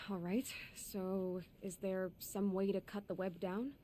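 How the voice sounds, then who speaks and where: A determined young huntress with a wry, sardonic edge, as a woman voices her. Her lines come through as game audio with clear background hiss. She speaks at an ordinary pitch. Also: flat delivery, takes it slowly, mellow, close to an everyday voice. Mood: curious. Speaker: game protagonist.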